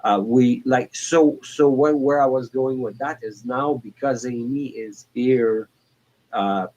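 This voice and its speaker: A man in his forties calling in to a talk show, speaking in a halting way with many restarts and fillers. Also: mid-range voice, a little mellow, dull audio. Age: 40s. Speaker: caller. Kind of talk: casual call-in chat.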